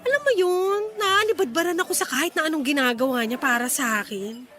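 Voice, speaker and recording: High, exasperated Filipino single mother. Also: lively intonation, brisk, bright, forceful tone, soft background music. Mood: dismissive. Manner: exasperated, blunt, huffy retorts. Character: irritated, picky young single mother, a restaurant worker. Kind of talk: Filipino radio drama acting.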